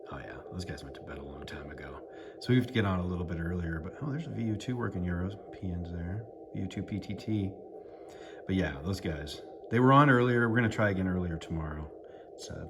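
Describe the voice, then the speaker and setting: Low, gravelly American man over loud noise. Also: animated, fairly quick. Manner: thinking aloud, muttering to himself. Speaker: amateur radio operator and vlogger. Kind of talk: casual running commentary while tuning a radio.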